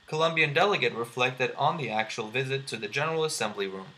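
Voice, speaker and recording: Male news reporter, mid-pitched, very crisp bright delivery of scripted narration. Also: fast, a little hiss or hum.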